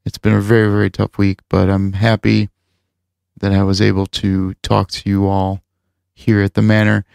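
A man's voice: fairly low pitch, completely flat delivery, normal speed, creaky, a little mellow, clear, resonant tone, no room sound, clean studio sound. A man hosting a podcast, chatting casually and rambling with lots of filler words as he wraps up the show. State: recovering from lost voice. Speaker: podcast host. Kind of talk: casual chat and show wrap-up.

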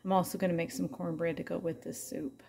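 Middle-aged lady chatting thru her dinners at home, warm low-ish voice, faint hiss behind. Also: level tone, quick pace, creaky. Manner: chatty, homey narration. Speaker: home cooking vlogger. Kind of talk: casual narrated cooking vlog. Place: home kitchen.

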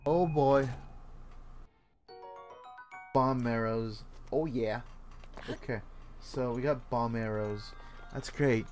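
A man's voice: mid-range voice, slow pace, long pauses, darkish tone, soft background music. A man streaming a video game, chatting casually in a laid-back, rambling way and swearing freely. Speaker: gaming streamer.

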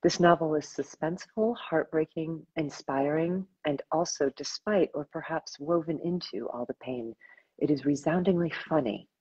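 Older lady host gushing on a video call, breathy voice, bit muffled audio. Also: low-pitched, moderate pace, a little mellow. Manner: effusive, enthusiastic, laughs often. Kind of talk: casual interview. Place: home room with plants.

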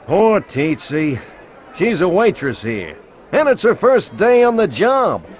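A helpful bug from a cartoon game, chatting in a folksy, high-pitched voice. The audio is slightly dull. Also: male voice, very animated, a little slow. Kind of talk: cartoon game character instructions.

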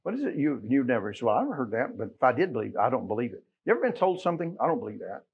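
A middle-aged man with an American accent preaching a sermon in a folksy, conversational way, leaning on emphatic rhetorical questions, with a husky voice. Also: medium pitch, sing-song, rapid-fire, narrow, tinny audio, slight background noise. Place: church sanctuary, at a pulpit.

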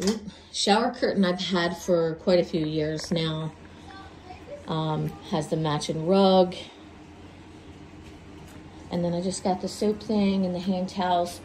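Congested woman, mellow low voice, chatty vlogger narrating a handheld phone tour of her house. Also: a little hiss or hum. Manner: chatty, listing where items were bought. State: congested from a cold. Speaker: home vlogger. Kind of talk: casual home tour narration. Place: house interior, walking room to room. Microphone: handheld phone camera.

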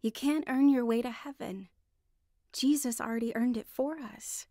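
A woman's voice: on the high side, fairly even intonation, normal speed, dark tone, dry recording, clean studio sound, lightly acted. An actress playing an earnest, devout wife in a radio drama, acting and narrating her heartfelt testimony. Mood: earnest, eager.

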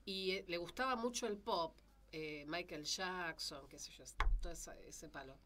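A young woman with a Rioplatense Spanish accent, a singer and vocal teacher chatting in a radio studio, unhurried and hesitant. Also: fairly low pitch, pushed and bright. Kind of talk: casual studio chat. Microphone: studio mic with headphones.

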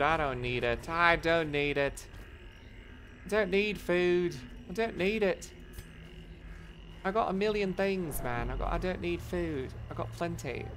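A game streamer with a voice going hoarse, chatting casually, swearing a lot and reacting loudly to the game. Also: mid-range voice. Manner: excitable, profane, reacts loudly to gameplay. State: voice getting hoarse. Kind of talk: casual live-stream commentary.

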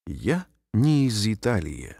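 A man reading short phrases aloud slowly and carefully, each one repeated, for language learners. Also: on the low side, wildly swooping pitch, darkish tone, no echo. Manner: careful, clearly spaced phrases. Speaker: language teacher. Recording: dry studio voice-over.

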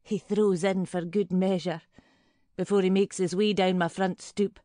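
An actress plays a disapproving old woman in an audio drama monologue. She grumbles primly and indignantly at an unhurried pace. The sound is dry, with hardly any room. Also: medium pitch, little pitch movement, mellow, no room sound, natural voice. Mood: prim indignation. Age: older.

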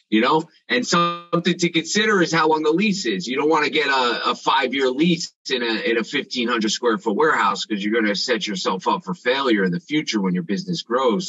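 A man's voice: medium pitch, bright, forceful tone, breathy edge, dry recording, dull audio. A man in his thirties coaching business owners on a video call, talking in a confident, emphatic way. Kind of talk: casual group video call. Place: dim room at home.

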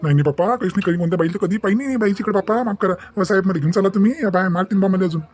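Man acting a blustering cartoon kidnapper, high male voice, hurried, exasperated complaining. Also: a little mellow. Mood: pleading. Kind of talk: Marathi cartoon comedy acting.

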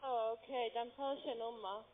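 A woman's voice: fairly high pitch, fairly even intonation, unhurried, a crowd in the background. A woman who is not a native German speaker, cold calling by phone. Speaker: cold caller. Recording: faint, narrow phone line.

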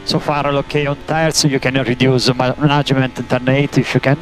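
A man working as a race engineer, giving terse, halting instructions over team radio and repeating key commands. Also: mid-range voice, flat delivery. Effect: team radio transmission. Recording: noisy motor-racing team radio.

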